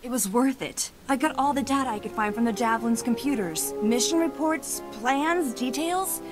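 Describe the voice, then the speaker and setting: A woman's voice: a little high, normal speed, music in the background, lightly acted. A woman playing an earnest, eager young Jedi apprentice in a video game cutscene. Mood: relieved, eager. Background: loud game score.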